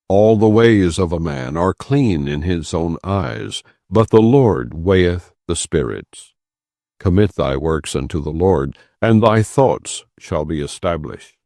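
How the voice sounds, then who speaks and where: A man narrating an audiobook of scripture in a solemn, formal, measured reading style. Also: lowish voice, creaky, a little mellow, no room sound, studio quality.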